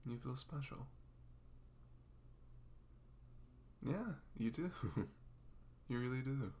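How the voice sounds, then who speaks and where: Male actor as goofy, sweet young boyfriend for intimate ASMR roleplay; gravelly, very animated delivery, halting and reassuring. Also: mid-range voice, a little hiss or hum, exaggerated voice. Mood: tender, goofy. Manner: halting, reassuring, casual, with soft chuckles. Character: sweet, goofy, self-conscious young boyfriend in new romance.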